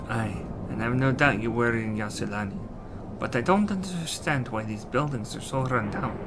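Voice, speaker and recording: Man reading game dialogue aloud over loud music. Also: mid-range voice, normal speed. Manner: acts out on-screen dialogue, with slips and repeats. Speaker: video game streamer. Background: video game music.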